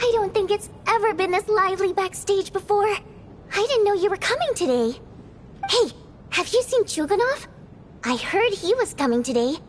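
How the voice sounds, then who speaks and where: A woman playing a lively, sharp-tongued young female theater star in a video game, expressive and bossy. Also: extremely high pitch, moderate pace, slight background noise. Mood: curious, secretive. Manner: expressive, exclamatory.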